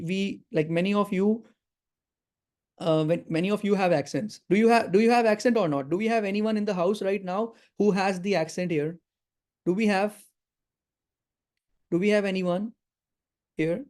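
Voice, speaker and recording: A man's voice: high voice, darkish tone. A man hosting a live webinar, giving career advice in an earnest way, often repeating words and checking in with his listeners. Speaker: webinar host and career coach. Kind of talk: live webinar talk to an audience.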